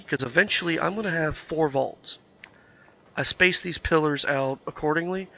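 A man's voice: fairly high pitch, vocal fry, sharp tone, dull audio. A man commentating his own gameplay, thinking aloud with lots of fillers, his voice nasal and scratchy from being sick. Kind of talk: casual let's-play commentary.

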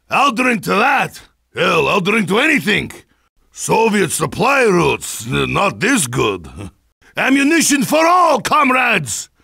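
A boozy, sardonic Russian soldier for a video game. His voice sits high and swings widely, with a forceful edge. He blusters and mocks in thick Russian-accented broken English, dropping his articles. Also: creaky, breathy edge, no room sound, clean studio sound, full character voice. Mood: impatient, boisterous, then boastful, grumbling. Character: hard-drinking boisterous Russian soldier, gruff and sardonic. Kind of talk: video game character barks.

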